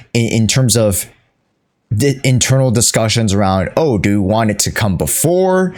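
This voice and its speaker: Low-voiced man, slow-paced game streamer. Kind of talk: casual live commentary on a game. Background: game music.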